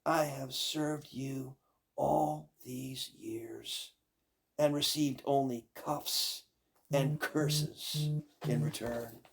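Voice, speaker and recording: Man as a slow, resentful servant maid. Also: mid-range voice, steady tone, vocal fry, slightly rough, voice pushed up, heavily acted.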